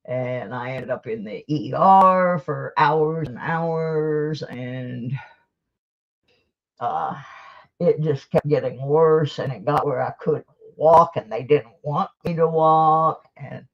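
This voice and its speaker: A woman's voice: low voice, takes it slowly. A woman hosting a live stream, chatting casually and rambling to her viewers in a folksy, self-deprecating way, on a dull lo-fi recording. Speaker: livestream host.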